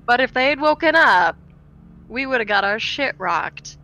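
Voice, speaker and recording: A woman's voice: on the high side, lively intonation, measured pace, sharp tone, dull audio, pitched above the performer's own voice. A woman playing a brash young female vampire in a tabletop game, blunt, casual and profane. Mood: blunt, defensive.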